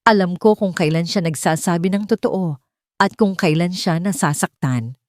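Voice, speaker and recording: Woman as gentle, earnest elderly matriarch. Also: fairly low pitch, moderate pace, no room sound, studio quality, close to an everyday voice. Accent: Filipino. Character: concerned, perceptive elderly rich mother.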